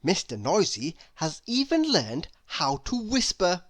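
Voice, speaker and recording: A woman narrating a children's story expressively, with deliberate dramatic pauses. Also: on the low side, sing-song.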